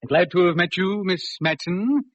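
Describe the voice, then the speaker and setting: A man's voice: high voice, normal speed, a bit bright, no echo. An actor playing a polite, apologetic male opera singer in 1940s radio drama style. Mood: courteous. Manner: apologetic, courteous. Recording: dull vintage radio recording.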